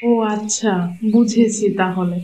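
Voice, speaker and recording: A man's voice: high-pitched, steady tone, a little slow, warm tone. A man voicing a mild, agreeable older mother-in-law in a village cartoon. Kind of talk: cartoon moral-story voice acting.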